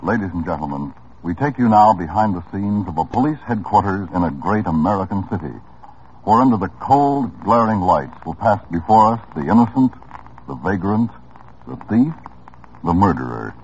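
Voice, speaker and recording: A man's voice: deep voice, lively intonation, moderate pace, darkish tone, a little hiss or hum. Dramatic old-time radio announcer, man. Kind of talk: 1950s broadcast announcing. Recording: dull lo-fi old radio broadcast.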